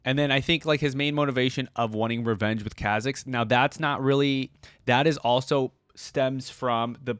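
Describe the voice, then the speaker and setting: A man's voice: medium pitch, steady tone, moderate pace, a bit bright, smooth, no room sound, studio quality. A man talking casually to his viewers as a reaction host, in rambling, conversational unscripted commentary. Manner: rambling, conversational, frequent "like" and "right?".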